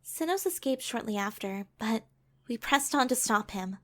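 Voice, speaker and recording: A woman acting a gentle, vulnerable young heroine in an audio drama, telling her past in an earnest, emotive, confiding way. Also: on the high side, animated, normal speed. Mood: tender, reflective.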